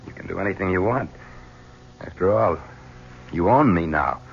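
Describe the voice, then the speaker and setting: A man's voice: deep voice, lively intonation, moderate pace, vocal fry. An actor playing a bitter, weary man out on bail after a murder. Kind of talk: 1970s radio drama acting. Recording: dull old radio recording with faint hiss.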